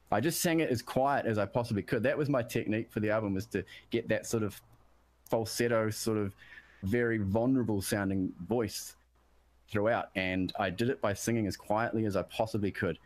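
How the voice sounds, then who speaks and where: A deep-voiced man, a musician guest from New Zealand, chatting casually about production and often restarting his sentences. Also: moderate pace, darkish tone. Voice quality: deep bass voice. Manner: rambling, self-interrupting, searching for words.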